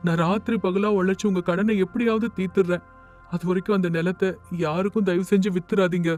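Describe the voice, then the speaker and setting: A man playing an earnest village tailor in an animated moral story, speaking emphatically. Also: high voice, a little fast, lightly acted. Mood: pleading. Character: earnest village tailor, devoted son turned strict miser. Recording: music under the voice.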